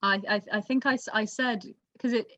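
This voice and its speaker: A woman's voice: fairly high pitch, fairly even intonation, fairly quick, fairly bright tone, dry recording, highs cut off. Woman in dry, close-sounding casual discussion. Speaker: motorsport podcast panellist.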